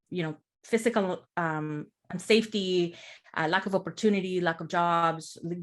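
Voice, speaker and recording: A woman from El Salvador, a therapist, talking as a podcast guest in a reflective, explanatory way, often checking in with "right?". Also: on the low side. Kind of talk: casual podcast conversation.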